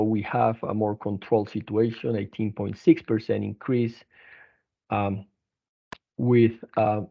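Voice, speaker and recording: A man presenting company financial results as an executive on an earnings conference call, speaking haltingly with frequent restarts. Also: fairly low pitch. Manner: halting, hesitant delivery with repeated restarts. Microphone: telephone conference line. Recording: narrow, tinny phone-line conference call.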